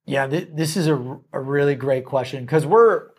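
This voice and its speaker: A man in his thirties, a sales executive guest, talking in an energetic, enthusiastic way in a studio. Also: on the high side. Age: 30s. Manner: energetic, enthusiastic, rapid lists. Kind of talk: casual interview answers.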